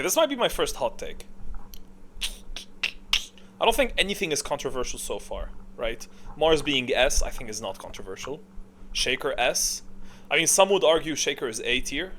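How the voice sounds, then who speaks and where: A man with a mid-pitched voice gives casual, opinionated gaming commentary. He muses out loud and questions his own calls as he goes. There is some noise behind the voice. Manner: musing, thinking aloud, self-questioning. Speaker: gaming video creator.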